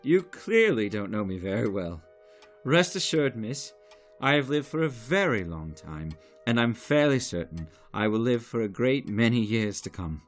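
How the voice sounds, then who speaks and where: An actor playing a centuries-old director, courtly, stern and sceptical, with formal old-fashioned speech in an audio drama. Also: male voice, medium pitch, big pitch swings, moderate pace, faint music behind, lightly acted.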